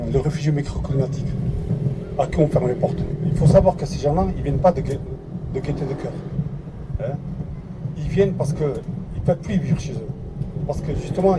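A man's voice: mid-range voice, very noisy. A French-born man speaking up in a public discussion, hesitant, full of restarts and fillers. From France. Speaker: participant in a public debate.

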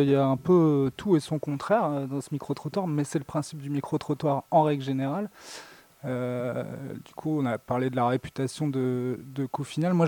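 A man hosting a school radio show, introducing segments and summing up at a relaxed pace with many fillers. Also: on the high side, dry recording.

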